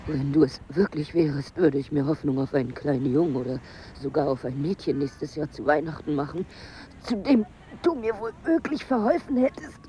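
A woman's voice: deep voice, expressive, normal speed, mellow, a little hiss or hum, rough recording. An actress playing a married woman on holiday in a radio drama, wistful and coolly wry, speaking in an understated, musing way. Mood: quietly hopeful. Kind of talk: intimate radio drama acting.

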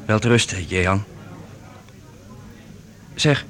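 Earnest, hesitant young runaway. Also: male voice, on the low side, slow pace. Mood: sincere, grateful. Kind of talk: radio drama acting.

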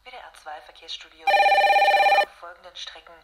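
A brisk radio traffic announcer. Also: female voice, very high-pitched, wildly swooping pitch, heavily acted. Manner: brisk, reading out reports. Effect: radio broadcast sound. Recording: echoey radio with loud noise.

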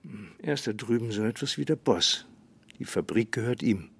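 An actor playing a calm, resigned small-town sheriff in a radio drama, speaking in a dry, measured manner. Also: male voice, lowish voice, vocal fry, breathy edge, dry recording, heavily acted. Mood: laconic, guarded. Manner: dry, measured, hesitant hums.

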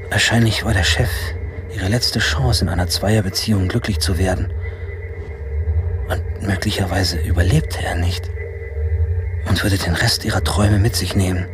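A man's voice: on the low side, close to an everyday voice. An actor playing a wry teenage boy who narrates his family story in a radio drama, dry and observant, over ambient noise. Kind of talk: scripted first-person radio drama narration. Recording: clear background ambient noise.

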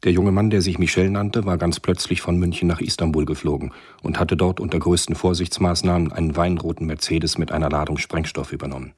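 A man with a rough, husky voice narrating a German radio thriller from a script in a measured, matter-of-fact way. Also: low-pitched, little pitch movement, a little mellow.

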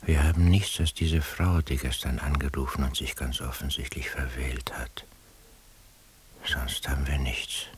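An actor playing an obsessive, troubled surveillance listener who doubts himself, ruminating in a breathy, half-whispered radio drama monologue. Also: male voice, a bass voice, fairly even intonation, measured pace, slight background noise. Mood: uneasy searching. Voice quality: very husky, breathy.

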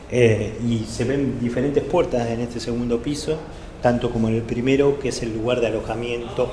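A man's voice: medium pitch, dark tone. A man with an Argentine accent giving a spontaneous, explanatory guided tour of a site. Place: indoors, large building stairwell while walking. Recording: echoey stairwell and halls, background noise.

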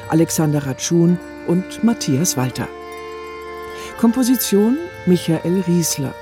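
Male radio announcer, slightly high register, formal scripted credit reading over clear music bed.

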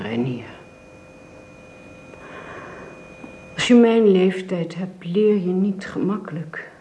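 An actress in a Dutch radio play voicing a stern, proud aristocratic older grandmother, measured and haughty. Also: on the low side, mellow. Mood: resigned.

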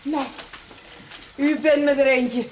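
A woman's voice: sits high, steady tone, slow pace. A woman playing a wary, curt priest's housekeeper in a television period drama, with a disapproving manner. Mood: indignant. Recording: noisy 1970s TV soundtrack. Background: sizzling pan, kitchen clatter.

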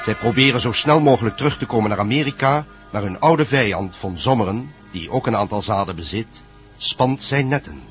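Low-voiced man narrating over music. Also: fairly quick. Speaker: narrator of a radio serial. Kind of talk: scripted dramatic narration. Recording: voice under loud music.

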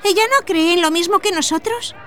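A woman's voice: very high voice, fairly quick, a bit bright. An actress playing a curious, innocent young daughter who questions her mother in a radio drama. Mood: curious, uneasy. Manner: inquisitive, childlike. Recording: busy outdoor street crowd behind.

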